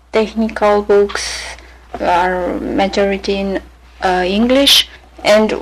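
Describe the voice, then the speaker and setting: This is a Romanian-accented woman speaking English as her second language. She talks slowly and unhurriedly, and her pitch sits in an ordinary middle range. She halts often to search for words and stops to restart her phrases. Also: poor audio. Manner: hesitant, halting, many fillers and restarts, laughs softly. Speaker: librarian speaking about herself. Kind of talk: unscripted personal monologue for a listening exercise.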